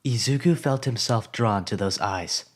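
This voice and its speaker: A man narrating a story in an expressive, scripted storytelling way. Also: fairly low pitch, moderate pace.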